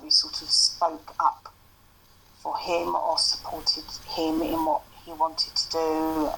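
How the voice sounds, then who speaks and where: A man speaking calmly as an advocate, answering interview questions with many fillers and restarts. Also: fairly high pitch, a little hiss or hum, rough recording. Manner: calm, hesitant, many fillers.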